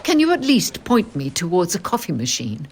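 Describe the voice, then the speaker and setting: An actress playing a composed, pointed widow in an audio drama, speaking with clipped, formal politeness. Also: female voice, lowish voice, huge pitch swings, moderate pace, slight background noise. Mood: controlled, impatient. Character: composed, pointed widow waiting at a police station.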